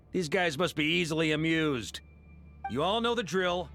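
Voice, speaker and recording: A man's voice: on the high side, expressive, unhurried, vocal fry, fairly bright tone, faint music behind, heavily acted. An actor playing a bold knight grandmaster who rallies his troops in a video game. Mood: wry. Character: bold male knight grandmaster, rallying battle commander.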